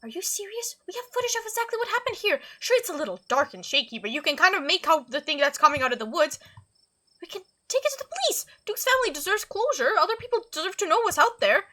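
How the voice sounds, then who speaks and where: Woman acting urgent, high-voiced young cryptid hunter. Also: big pitch swings, fast talker, sharp tone, full character voice. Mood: indignant pleading. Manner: urgent, pleading. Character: excitable, stubborn young woman making cryptid videos.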